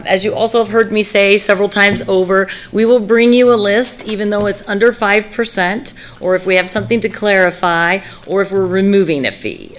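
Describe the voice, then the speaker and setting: Woman with an allergy-hoarse voice. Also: medium pitch, a bit bright. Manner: frequent throat clearing and filler words. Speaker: municipal services director. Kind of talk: presenting a staff report at a council meeting.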